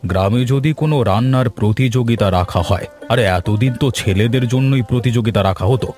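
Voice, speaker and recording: A man voicing a village headman in a cartoon, giving instructions briskly with music behind him. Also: medium pitch, a little mellow, natural voice. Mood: commanding. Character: village headman, decisive older man in charge. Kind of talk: cartoon voice acting.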